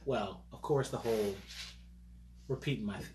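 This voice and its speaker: A man's voice: on the low side, moderate pace, darkish tone. A man in his forties co-hosting a podcast, telling stories and joking around in casual chat. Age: 40s. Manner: joking, playful storyteller. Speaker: podcast co-host. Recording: roomy with faint hum.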